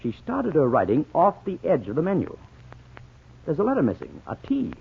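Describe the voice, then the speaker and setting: A keen American amateur detective in a vintage radio mystery. He has a rough, creaky voice and highly animated, emphatic explanations. The old broadcast sound is thin. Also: normal speed, full character voice. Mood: keen, reasoning. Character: keen, reasoning amateur sleuth husband. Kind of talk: 1940s radio drama acting. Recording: tinny 1940s radio recording.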